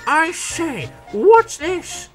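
A man's voice: medium pitch, big pitch swings, slow, over music. A man voicing a pompous, sneering, sulky big diesel engine in a children's story, with a haughty, mocking manner. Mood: alarmed. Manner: haughty, mocking, spluttering when thwarted.

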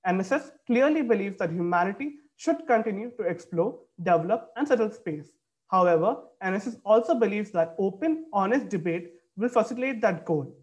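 A young man moderating a debate on a video call, reading formal announcements from a script. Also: high voice. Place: indoor room, video call.

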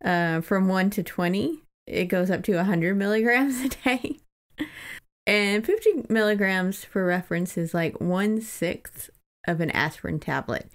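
A woman with an average-pitched voice and a noticeable creaky fry. She chats in a casual, conversational way, as a co-host discussing a book on a podcast. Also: measured pace.

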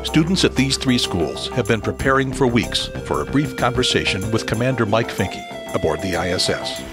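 A man's voice: lowish voice, lively intonation, moderate pace. A man reading scripted news narration as a video narrator. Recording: loud music bed. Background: music.

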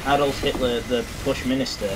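A young man with a mid-pitched voice co-hosts a gaming video. He chats in a casual, offhand and teasing way, with clear noise over his amateur mic. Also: fairly even intonation, fairly quick. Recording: noisy amateur voice-chat mic.

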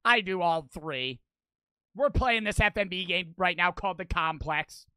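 A man in his twenties streaming a game on a headset mic, excitable and swearing, with his voice cracking from wear. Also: high voice, unhurried, clear, resonant tone, no echo. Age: 20s. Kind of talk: livestream gaming commentary. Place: small bedroom streaming setup. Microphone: headset mic on boom arm.

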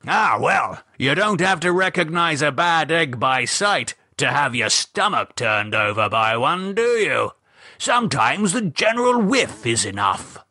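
A man voicing a fussy, mildly pompous older gentleman in an audiobook. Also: on the high side, very animated, a little slow, breathy edge, dry recording, clean studio sound, voice pushed up, full character voice. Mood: musing. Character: fussy older English gentleman, chatty, newspaper reader. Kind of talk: audiobook character voice.

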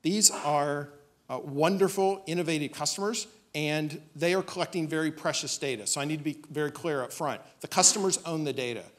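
A man giving a business pitch presentation as a startup presenter, speaking on stage into a podium microphone. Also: brisk. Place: on stage in a dim auditorium.

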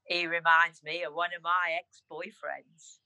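A woman's voice: lowish voice, little pitch movement, a little slow, pushed and bright, no echo, heavily acted, low-quality recording. A woman playing a wry, gossipy village busybody in a radio pantomime.

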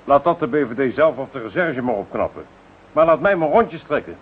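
Stubborn inspector, phone-like sound. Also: male voice, mid-range voice, expressive, fairly quick, breathy edge. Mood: reluctant, stubborn. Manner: matter-of-fact, colloquial. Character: plain-spoken, stubborn male harbour police inspector. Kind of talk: Dutch radio play acting. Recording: thin narrow-band radio recording with hiss.

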